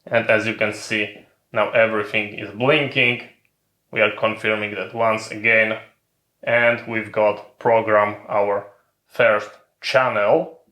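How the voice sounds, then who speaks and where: Slow, bright-voiced man giving step-by-step instructions. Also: fairly low pitch, little pitch movement, echoey room. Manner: methodical, repetitive phrasing in short separated steps. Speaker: tech channel presenter giving a tutorial. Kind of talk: step-by-step instructional narration of a hardware demonstration.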